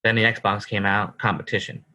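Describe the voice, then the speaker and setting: A man's voice: on the low side, little pitch movement, moderate pace, pushed and bright. A man in his thirties co-hosting a casual podcast, emphatic and calling his co-host bro. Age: 30s. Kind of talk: casual chat.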